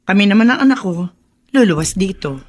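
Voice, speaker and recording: A woman playing a plain-spoken, hard-luck mother from the provinces in a Filipino radio drama, frank and expressive. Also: medium pitch, brisk, close to an everyday voice. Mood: interested.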